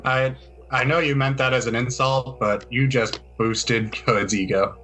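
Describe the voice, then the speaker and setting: A man acting as game master, narrating and bantering in a deadpan way during an improvised tabletop roleplaying session. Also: mid-range voice, a little slow, soft background music.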